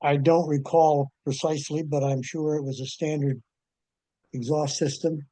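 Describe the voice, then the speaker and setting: A man with a soft-edged, slightly high voice explains things on a conference call. He is measured and matter-of-fact, and the sound is very dry. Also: fairly even intonation, no room sound. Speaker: club member giving technical advice. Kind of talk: casual technical explanation.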